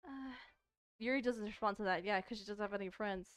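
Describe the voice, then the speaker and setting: A woman's voice: medium pitch, brisk, a bit bright. A woman doing casual, playful let's-play commentary on a visual novel, reading the on-screen text aloud between her own asides. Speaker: streamer playing a visual novel.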